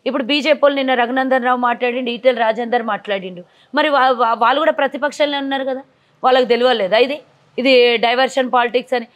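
A forceful woman politician fires back rhetorical questions at a fast clip, her voice sitting on the higher side. She speaks outdoors into a lapel mic. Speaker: party politician. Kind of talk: combative interview answers.

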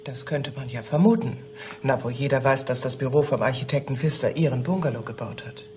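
An actress playing a middle-aged police secretary in a radio play, unflappable, polite and drily pointed. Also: female voice, rumbling low, fairly quick, mellow, a little hiss or hum. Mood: dry, pointed. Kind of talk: German radio play acting.

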